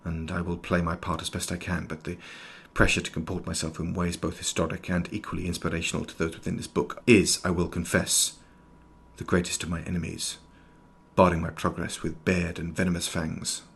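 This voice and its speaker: Deep, husky, erudite Victorian doctor, played by a man. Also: completely flat delivery, brisk, a bit bright, full character voice. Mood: grave, introspective. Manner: precise, ornate, old-fashioned diction. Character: erudite, formal 19th-century doctor, inwardly fearful, sharp-eyed. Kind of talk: scripted audio drama, journal narration and dialogue.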